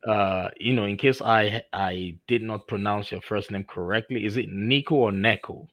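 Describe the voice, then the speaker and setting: A man's voice: fairly low pitch, fairly even intonation, fairly bright tone, no echo. A man from Nigeria with a Nigerian accent, hosting an interview in an effusive manner with many fillers. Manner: effusive, halting with frequent fillers. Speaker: podcast host. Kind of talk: conversational interview.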